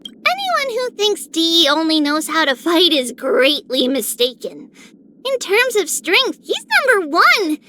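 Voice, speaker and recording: A woman voicing an energetic, boastful little girl in a video game, with a perky, eager manner. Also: high voice, slight background noise, full character voice.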